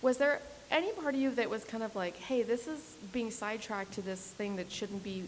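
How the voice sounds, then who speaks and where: A female reporter asking soft, hesitant questions at a press session. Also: on the high side, normal speed. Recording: echoey hall from a distance with faint hiss.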